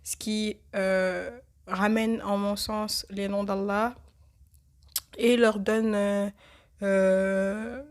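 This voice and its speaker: Woman w a cold doing a reflective podcast, flat steady voice, lots of ums. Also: medium pitch. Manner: hesitant, many filler pauses. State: has a cold. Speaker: podcast host. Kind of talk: reflective religious podcast talk.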